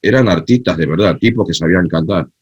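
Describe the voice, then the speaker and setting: An Argentine-accented man speaks in a low, husky voice, recorded dry and a little muffled. He tells frank, lively stories in casual conversation. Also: fast talker, dry recording. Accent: Argentine Spanish. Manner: animated storyteller, frank and opinionated. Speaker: guest, cumbia festival creator. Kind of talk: casual interview chat.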